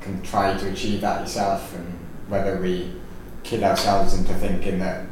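A man's voice: low-pitched, flat delivery, normal speed. A man with a Welsh accent hosting a podcast in a casual, rambling chat full of fillers and restarts. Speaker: podcast host. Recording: echoey room, distant mic, faint noise.

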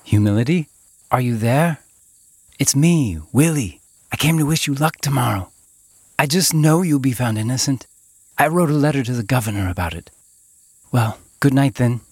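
An actor playing a gentle, hopeful, devoted townsman in an audio drama, tentative and sincere. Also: mid-range voice, animated, moderate pace, long pauses, slightly rough, no room sound, studio quality. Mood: tentative, tender. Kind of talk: audio theatre acting.

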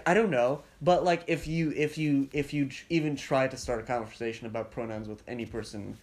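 A man's voice: mid-range voice, fairly even intonation, a little fast, a little mellow. A young man from Slovenia, a podcast guest, talking casually with rambling sentences and false starts. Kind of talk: casual conversation.

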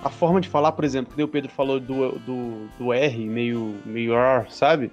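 A man's voice: medium pitch, fairly even intonation, a little mellow, muffled recording, faint music behind. A man co-hosting a casual podcast, arguing and bantering with his friends. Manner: fast, argumentative banter. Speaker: podcast co-host.

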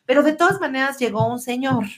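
A woman's voice: high-pitched, fast, a loud crowd. A woman with a Mexican accent co-hosting a podcast, telling stories casually in slangy, colloquial speech. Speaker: podcast co-host. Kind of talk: casual chat and storytelling.

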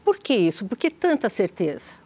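Woman interviewer, mid pitch, friendly probing questions, casual. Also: big pitch swings, normal speed, a little mellow.

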